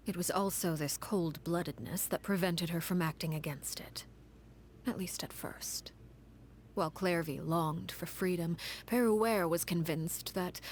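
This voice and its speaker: An actress voicing a cold, composed woman in a game cutscene, recounting a grim past in a measured, grave half-whisper. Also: fairly low pitch, a little hiss or hum. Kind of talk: game story cutscene dialogue.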